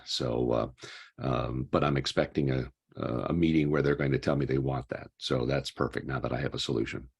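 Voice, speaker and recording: A man with a very deep, rough-edged voice talks casually about work as a participant in a group discussion. His tone is husky and informal. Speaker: community call participant.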